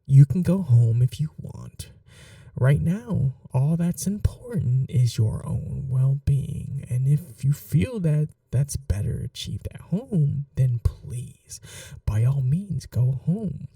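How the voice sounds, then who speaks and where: Obsessive lovestruck kidnapper, male actor, soft and breathy, slow delivery with animated pitch swings, awkwardly tender ASMR roleplay. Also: dry recording, distant mic. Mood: gentle, resigned. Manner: gentle, halting, coaxing reassurance. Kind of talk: intimate ASMR roleplay, often near whispering.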